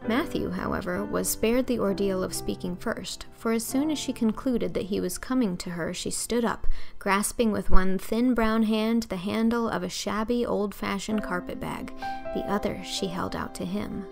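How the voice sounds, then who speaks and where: A woman reading scripted audiobook narration, expressive and carefully phrased. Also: mid-range voice, normal speed, vocal fry, over music.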